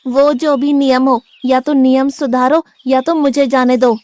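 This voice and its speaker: A woman's voice: sits high, steady tone, unhurried, a little mellow, no room sound. A woman voicing a stubborn, hungry young disciple. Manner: blunt, indignant, complaining. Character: naive, stubborn young male disciple who loves food. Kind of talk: Hindi cartoon story dubbing.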